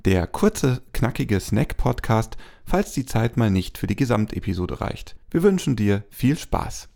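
A man, a pastor, hosting a church podcast and interviewing guests in a friendly, measured way. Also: medium pitch, very animated, vocal fry, a little mellow. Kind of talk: scripted podcast intro and interview questions.

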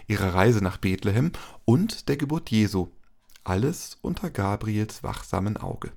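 A man's voice: on the low side, gravelly, a little mellow. A man reading a scripted podcast introduction as its presenter.

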